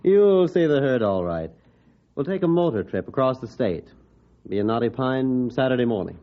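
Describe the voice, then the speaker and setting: Man playing a hearty, animated governor. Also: mid-range voice, moderate pace, close to an everyday voice. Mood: hearty, reassuring. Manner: hearty, formal. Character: genial, proud male state governor. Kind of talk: old-time radio drama acting. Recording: muffled old radio recording.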